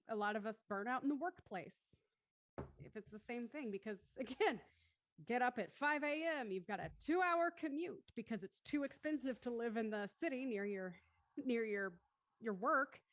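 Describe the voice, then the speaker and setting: A woman's voice: on the high side, dry recording. A woman talking casually and reflectively in a group discussion.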